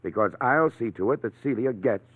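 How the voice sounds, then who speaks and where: An actor playing an earnest, determined gentleman with a formal, insistent manner in 1940s radio drama style. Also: mid-range voice, expressive, brisk, lightly acted. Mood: indignant, determined. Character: earnest, indignant, determined gentleman, family friend. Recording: tinny old radio recording.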